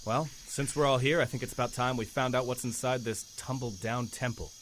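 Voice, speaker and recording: A bright, forceful voice from a man acting plays a brisk superhero team leader. The delivery is crisp, wry and commanding over faint noise, like a fan audio drama. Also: mid-range voice, steady tone, natural voice.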